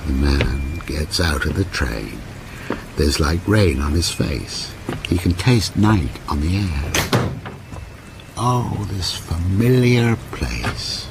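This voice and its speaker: An actor playing a lonely, obsessive older man in a radio ghost story, with a brooding, deliberate delivery that repeats broken phrases. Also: a bass voice, animated, gravelly, background noise, full character voice. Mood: haunted, obsessive. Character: lonely, obsessive, sinister old railwayman ghost narrating his story. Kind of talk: radio drama monologue.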